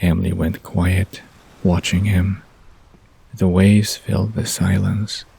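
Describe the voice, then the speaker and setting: A man narrating a scripted bedtime audio drama in a husky, gravelly, hushed storytelling voice. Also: sits low, level tone, slow.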